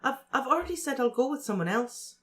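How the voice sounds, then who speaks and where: A gentle teenage girl speaks in a raised, soft voice, hesitant and apologetic, as the narrator puts her on. It is a storybook voice, bright at the top. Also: sits high, expressive, quick pace, pitched above the performer's own voice.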